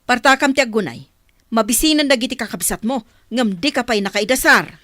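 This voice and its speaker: An actress as a hard, resentful mother in a radio drama. Her fairly high voice scolds and orders, sounding close in a dry recording. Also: fairly quick, bright, forceful tone, dry recording. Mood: stern, commanding. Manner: scolding, commanding. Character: harsh, domineering mother who resents her adopted son. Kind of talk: Ilocano radio drama acting.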